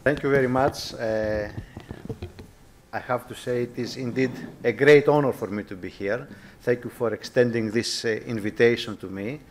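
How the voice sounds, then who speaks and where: A man with a Greek accent giving a formal academic lecture as a guest professor, courteous and measured, often restating his phrases carefully. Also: medium pitch, steady tone, a little hiss or hum. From Greece.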